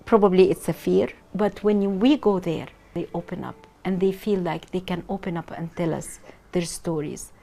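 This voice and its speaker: Earnest Egyptian-born woman, mellow voice. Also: lowish voice, normal speed, vocal fry. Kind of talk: interview.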